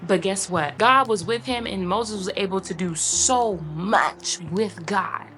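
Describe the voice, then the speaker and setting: A woman's voice: medium pitch, measured pace. A young woman in casual chat, rambling with many fillers and restarts, then turning earnest and encouraging. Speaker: vlogger. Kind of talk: casual chat while getting ready. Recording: music playing underneath.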